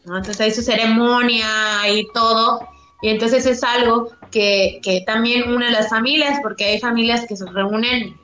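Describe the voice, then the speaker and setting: A woman from Yucatán answers interview questions in a loose, rambling way, full of fillers and restarts. Her voice sits a bit high. It was recorded in an echoey room, with faint music underneath. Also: steady tone, moderate pace, a bit bright. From Mexico, Yucatán. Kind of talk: casual conversational interview.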